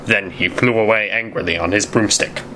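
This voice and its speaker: A man reading a story aloud as narrator, with deliberate, emphatic, amateur dramatic delivery. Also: lowish voice, steady tone, background noise.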